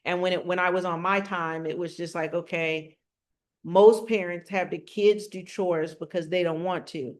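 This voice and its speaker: A middle-aged woman speaking with a strong, forceful voice, teaching about spirituality and astrology in a passionate conversational way with many rhetorical questions. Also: on the low side, steady tone, moderate pace. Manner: rhetorical questions and repeated phrases.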